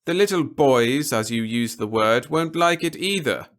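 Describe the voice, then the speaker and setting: A man voicing a stern, articulate male official in an audiobook, with emphatic, deliberate, formal phrasing. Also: medium pitch, animated, a bit bright, pitched above the performer's own voice. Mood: exasperated, insistent. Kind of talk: audiobook character dialogue.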